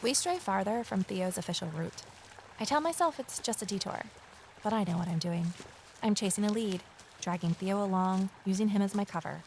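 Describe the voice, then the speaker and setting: A woman's voice: mid-range voice, brisk, slight background noise, natural voice, low-quality recording. A woman playing an earnest, uneasy amateur investigator, confiding and intent as she narrates an audio drama. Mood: confiding, tense. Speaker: narrator and lead character.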